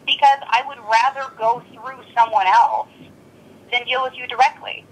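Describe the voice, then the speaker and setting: A woman's voice: on the high side, steady tone, normal speed. A blunt, exasperated woman arguing on a recorded telephone call. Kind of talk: tense phone argument.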